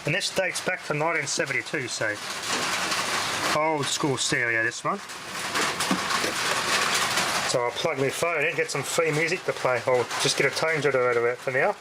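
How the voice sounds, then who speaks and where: A man's voice: a little high, fairly quick, very noisy. A man giving a casual hands-on demo, muttering to himself as he works, on a handheld camera recording. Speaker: vintage audio gear hobbyist demonstrating a tape recorder. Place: indoors, close over a tape deck. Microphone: handheld camera's built-in microphone.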